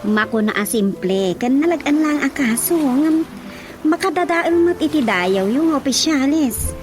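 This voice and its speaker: A woman's voice: high-pitched, animated, a little slow. A woman playing a village woman in a radio drama comedy, speaking with an Ilocano accent. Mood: chiding. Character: village woman addressing a male neighbour. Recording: music under the voice.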